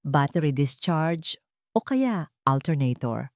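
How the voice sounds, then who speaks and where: A woman's voice: deep voice, expressive, slow, dry recording, studio quality, exaggerated voice. A woman narrator voicing a poor male mechanic and single father who talks in a plain, matter-of-fact way.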